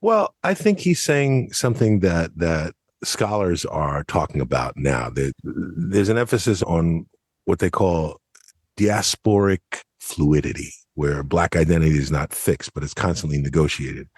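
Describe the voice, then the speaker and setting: A man from the United States giving measured, scholarly commentary in an analytical podcast discussion. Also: low voice, lively intonation, breathy edge, no room sound.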